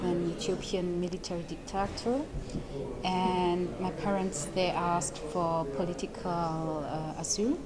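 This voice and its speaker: A woman in her thirties from Eritrea whose first language is Tigrinya, answering interview questions with many pauses and ums. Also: lowish voice, little pitch movement, a loud crowd. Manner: hesitant, with many ums and restarts, laughing lightly. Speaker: lawyer and human rights advocate.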